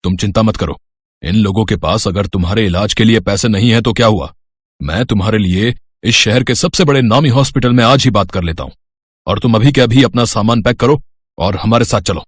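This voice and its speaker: A man's voice: fairly low pitch, a little fast, husky, no room sound, clean studio sound. A man voicing a haughty, condescending, indignant father in a dramatized moral story. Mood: scornful, indignant. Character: haughty, wealthy, disapproving father of a married daughter.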